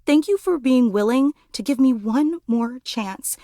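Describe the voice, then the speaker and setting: A clingy, fawning female superfan for a video game. Her voice sits high and swings widely in pitch as she gushes with overemotional, melodramatic devotion. The sound is dry and close, with no room to it. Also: expressive, unhurried, mellow, no room sound. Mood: clingy, apologetic. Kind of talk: video game character voice acting.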